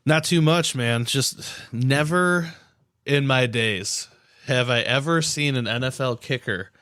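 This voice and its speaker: A man's voice: mid-range voice, takes it slowly, sharp tone, no echo, studio quality. A man co-hosting a podcast, chatting casually about sports in a slangy, informal way. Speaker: podcast co-host.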